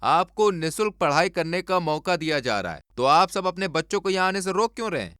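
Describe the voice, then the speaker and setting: A man's voice: high voice, animated, a little fast, sharp tone, no echo, studio quality. A man voicing an earnest, idealistic young engineer, sincere and polite, in a moral story cartoon. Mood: persuasive.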